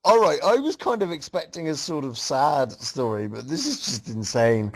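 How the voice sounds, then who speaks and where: A man's voice: medium pitch, animated, normal speed, background noise. A man reading a story aloud to a group as host, reacting casually and often amused as he goes. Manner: amused, breaking into laughter while reading.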